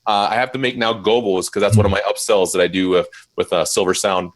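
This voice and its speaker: A man who runs a mobile DJ company, chatting in a casual live interview and telling stories with lively jumps in his voice. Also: lowish voice, fast. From Minnesota, USA. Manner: animated storyteller, voice jumps up when quoting.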